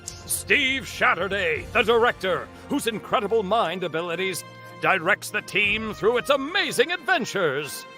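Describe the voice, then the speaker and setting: A man's voice: high-pitched, big pitch swings, measured pace, bright, forceful tone, faint music behind. A man narrating a comic book table read in a dramatic, announcer-like cartoon style.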